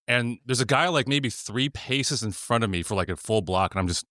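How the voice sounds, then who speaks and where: A man co-hosting a podcast, telling a story in casual chat with a deadpan delivery. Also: mid-range voice, moderate pace, no echo, clean studio sound.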